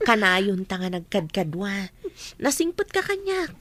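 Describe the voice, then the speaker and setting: A woman's voice: medium pitch, sing-song, measured pace, a bit bright, no echo. A woman acting a caring, loyal friend in an Ilocano radio drama, speaking with earnest concern.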